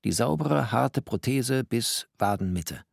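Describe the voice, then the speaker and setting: An actor playing a bookish, tender and wry first-person narrator with poetic, deliberate phrasing in a literary radio drama. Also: male voice, medium pitch, lively intonation, creaky, no room sound, studio quality, exaggerated voice.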